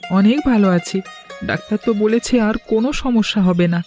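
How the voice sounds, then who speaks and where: A woman voicing a gentle, sentimental village boy in a cartoon. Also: medium pitch, fairly quick, lightly acted. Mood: wistful. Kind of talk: cartoon dialogue acting. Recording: faint music behind the voice.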